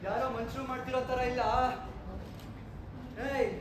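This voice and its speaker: A man's voice: very high voice, level tone, normal speed. A man acting as an uneasy young friend in a forest stage play, questioning in colloquial talk. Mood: uneasy, teasing. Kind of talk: amateur stage play dialogue. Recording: very echoey hall, loud noise.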